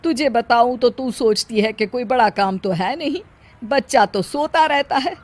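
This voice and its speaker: A woman's voice: on the high side, normal speed, close to an everyday voice. A woman voicing a nagging old mother-in-law. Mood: scolding. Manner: scolding, complaining. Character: older mother-in-law, nagging, sharp-tongued.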